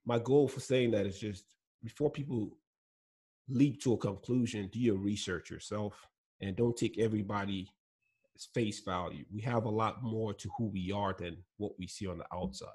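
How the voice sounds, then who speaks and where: A man's voice: fairly low pitch, moderate pace, darkish tone, breathy edge. A man from Nigeria whose first language is Igbo, telling his story as a guest in an earnest, easygoing way and laughing between points. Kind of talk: casual interview talk.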